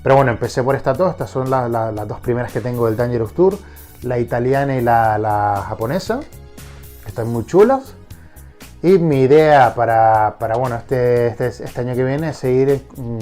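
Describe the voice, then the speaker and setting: A man's voice: medium pitch, darkish tone. A man hosting a casual collector vlog, nasal and congested from a cold, enthusiastic and often restarting his sentences. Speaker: collector vlogger.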